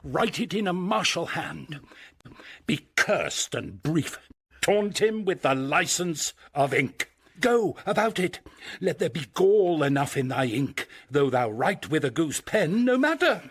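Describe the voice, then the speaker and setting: A jovial rogue of a knight in a Shakespeare radio play. His voice is husky and fairly high, leaping about as he bosses and goads with boozy bluster. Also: big pitch swings, unhurried, a bit bright, exaggerated voice. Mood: rousing, bossy. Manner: theatrical, bossy, jovial. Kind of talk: Shakespeare radio drama acting.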